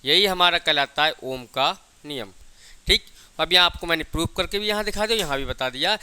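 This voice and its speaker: A man's voice: fairly high pitch, brisk, pushed and bright, smooth. A man teaching a physics lesson, dictating definitions, repeating key phrases and answering his own questions. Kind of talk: classroom lecture with dictated definitions.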